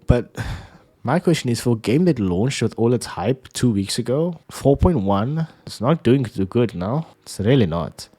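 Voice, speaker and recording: A man's voice: mid-range voice, lively intonation, measured pace, warm tone, slightly rough. A man streamer with a stuffy, nasal voice from a sinus cold, chatting casually and jokingly about games. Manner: laid-back, joking, calls listener dude and bro. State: sick with sinus trouble.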